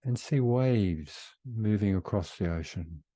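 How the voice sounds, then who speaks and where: A man leading a guided meditation in a soothing, unhurried way with long pauses between phrases. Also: fairly low pitch, animated, mellow, dry recording.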